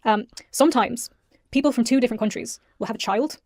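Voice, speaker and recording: A woman's voice: fairly high pitch, darkish tone. A young woman with an Irish accent, earnestly explaining and apologizing in a video of her own. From Ireland. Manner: earnest, apologetic, rapid talk. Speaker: YouTuber being reacted to.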